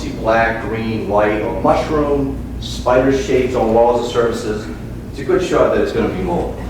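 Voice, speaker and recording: A man with a Boston accent giving a casual office presentation and reading his slides with many fillers. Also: lowish voice. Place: office meeting room. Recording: echoey room from far off with noise.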